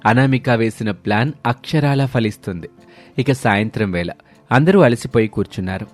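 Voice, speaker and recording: A male storyteller reads scripted narration. His voice sits at a middle pitch, and music plays behind him. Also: moderate pace.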